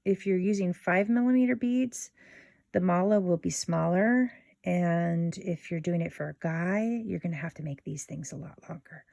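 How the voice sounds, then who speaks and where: A woman hosting a casual step-by-step craft tutorial in an informal, unhurried way, thinking aloud as she works. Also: on the low side, gravelly, warm tone, no echo, studio quality.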